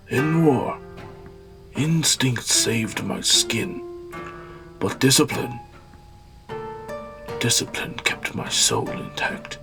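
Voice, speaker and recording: A man playing a stern old warrior father and master in an audio drama, speaking in a solemn, measured way. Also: mid-range voice, gravelly. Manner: solemn, measured, sage-like delivery.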